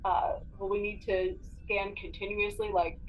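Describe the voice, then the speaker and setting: A woman's voice: mid-range voice, level tone, moderate pace, highs cut off, a little hiss or hum. A woman playing a tabletop roleplaying game, casually discussing and planning her next moves.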